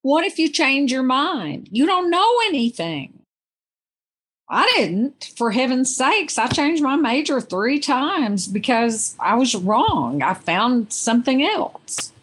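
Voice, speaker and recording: A woman's voice: sits high, very animated, slow pace, fairly bright tone, husky. A woman speaking as a podcast guest, giving a reader's reflective take on fiction in conversation.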